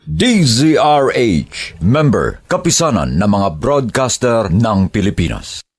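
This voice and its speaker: A man's voice: mid-range voice, big pitch swings, takes it slowly. A man with a Filipino accent reading a radio station identification as its announcer.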